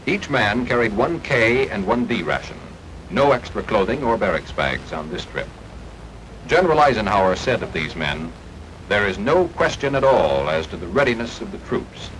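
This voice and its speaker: A man's voice: on the low side, very animated, moderate pace, a bit bright. An American man narrating a 1940s wartime propaganda film from a script, in a formal, declamatory and emphatic way. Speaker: newsreel-style documentary narrator. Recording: noisy archival 1940s film soundtrack.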